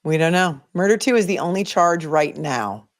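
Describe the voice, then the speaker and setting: A woman's voice: on the low side, expressive, normal speed. Casual woman livestream commentator with a Boston accent. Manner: conversational, opinionated, thinking aloud. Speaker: livestream host, legal commentator. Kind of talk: casual chat, answering live viewer comments.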